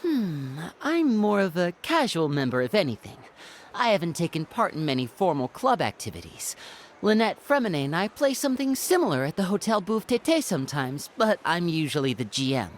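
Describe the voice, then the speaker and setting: A woman's voice: lowish voice, sing-song, normal speed, a little hiss or hum. A woman voicing a suave young male magician in a video game, courteous, genial and easygoing. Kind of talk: video game character dialogue. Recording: faint music behind the voice.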